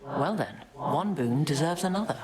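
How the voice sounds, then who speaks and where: A woman voicing a wise warrior goddess who speaks in a measured, diplomatic and formal way. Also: sits low, animated, very noisy.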